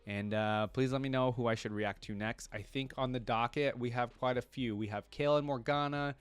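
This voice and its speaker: A man's voice: medium pitch, steady tone, fairly quick, smooth, dry recording. A man hosting a reaction video, talking casually and thinking aloud in a rambling way, often checking himself with a questioning tag. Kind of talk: casual reaction commentary to camera.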